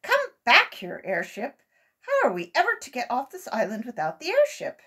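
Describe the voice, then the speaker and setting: A kindly old gentleman rabbit from a children's storybook, as a woman reader puts him on. He is courteous and expressive, with lively rises and falls and a slightly husky edge. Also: fairly high pitch, moderate pace, voice pushed up, exaggerated voice. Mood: dismayed.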